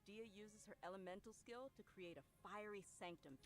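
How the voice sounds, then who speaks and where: A woman's voice: medium pitch, fairly even intonation, a little fast. A woman presenter reading scripted promotional narration about game character skills.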